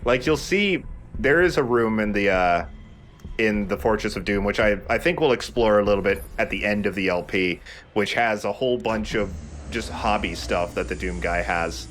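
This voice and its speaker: A man's voice: on the low side, normal speed, fairly bright tone, a little hiss or hum. A man hosting a video game let's play, chatting casually in a joking, casually profane way. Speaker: let's play host and player. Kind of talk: casual gaming commentary.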